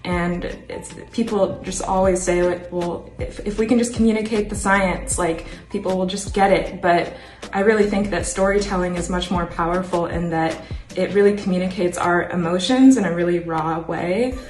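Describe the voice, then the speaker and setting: Young woman, low voice, earnest climate communicator; echoey room, music bed. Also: steady tone, moderate pace, a little mellow. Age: young adult. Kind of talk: earnest panel talk. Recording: roomy echo with music behind.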